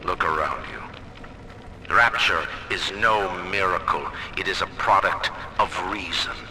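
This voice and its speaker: A proud rationalist founder in a video game debate. His deep voice is very forceful and cutting, delivered slowly like an oration over faint noise. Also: exaggerated voice, low-quality recording. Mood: scornful, certain. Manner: oratorical, emphatic. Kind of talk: staged debate speech in a game.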